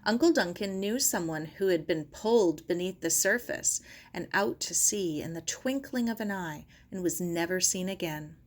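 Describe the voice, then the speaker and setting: A woman reading a children's novel aloud as scripted narration. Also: fairly low pitch. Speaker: audiobook reader.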